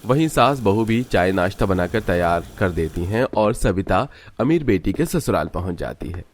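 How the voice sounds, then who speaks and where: A man's voice: sits low, animated, normal speed, a little hiss or hum. A man giving scripted narration for a cartoon story.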